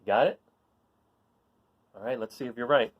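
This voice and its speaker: A man reading a children's picture book aloud in an informal, expressive way, putting questions to young listeners and encouraging them. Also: medium pitch, normal speed. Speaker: read-aloud storyteller.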